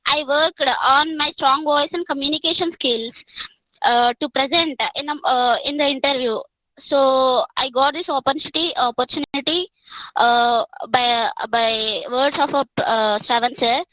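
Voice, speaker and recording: A woman's voice: sits high, a little slow, fairly bright tone, no room sound, rough recording. A young woman, giving a hesitant talk about a mock interview with many fillers and restarts. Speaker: student speaker.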